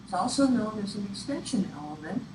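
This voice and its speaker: A woman presenter giving an instructional presentation in a deliberate, explanatory way. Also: mid-range voice, animated. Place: echoey room, picked up from afar. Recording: echoey, distant room recording with clear noise. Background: clear room noise.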